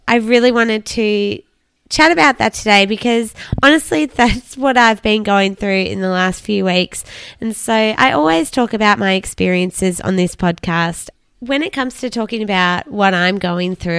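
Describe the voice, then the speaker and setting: A raspy, hoarse woman in her thirties hosts her own podcast, talking candidly and chattily with frequent laughs. Her voice sits a little high, and the recording is dry and close with no room sound. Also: no room sound. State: hoarse from flu. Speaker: podcast host. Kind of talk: casual solo podcast talk.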